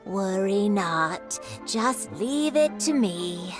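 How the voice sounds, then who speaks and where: Tired old granny shopkeeper, super slow gravelly drawl, game music under it. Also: female voice, medium pitch, animated. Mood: reassuring. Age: older. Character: elderly female market vendor, weary.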